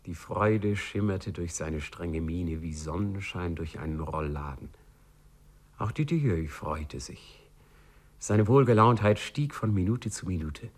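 A man's voice: deep voice, lively intonation, a little slow. A man narrating a 1950s German radio play as a wry literary storyteller.

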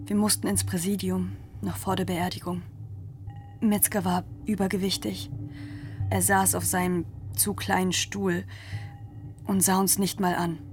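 An actress playing a haunted witness who narrates a grim crime story in a radio drama, in terse, restrained sentences. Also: female voice, lowish voice, level tone, measured pace, lightly acted. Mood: sombre. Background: music.

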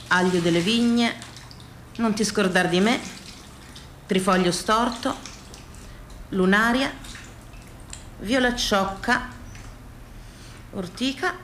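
Woman reciting slowly, water-like noise behind. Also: medium pitch, a bit bright. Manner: measured, pausing after each item. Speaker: stage performer. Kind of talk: theatrical recitation of a list.

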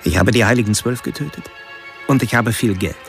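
An actor playing a self-absorbed serial killer who delivers solemn, pompous philosophical monologues in a radio drama. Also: male voice, fairly low pitch, a little fast, a bit bright, faint music behind. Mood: grandiose, brooding.

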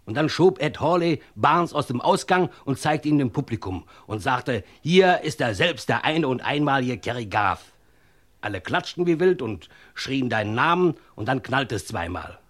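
A film star's scheming male friend in a radio crime drama. His mid-pitched voice is husky and slightly rough. He talks in a matter-of-fact, persuasive way, handing out instructions and taking charge. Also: animated, moderate pace, fairly bright tone. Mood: urgent recounting.

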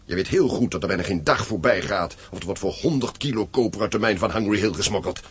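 An actor playing a stern, wealthy mine owner in a radio drama, curt, proud and threatening. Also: male voice, fairly low pitch, fast, a little hiss or hum, lightly acted. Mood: accusing.

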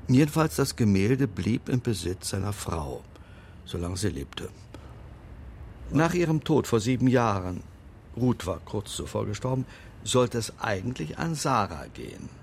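Discreet older gentleman, low gravelly voice, measured and formal, radio play, faint background noise. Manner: measured, formal, pausing between phrases. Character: formal, discreet older male confidant recounting family secrets. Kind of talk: radio drama dialogue.

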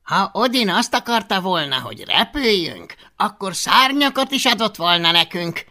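Guy doing a scared whiny viking kid, bright voice. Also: high voice, animated, pitched above the performer's own voice, full character voice. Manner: whimpering, anxious. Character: timid, fearful young Viking boy.

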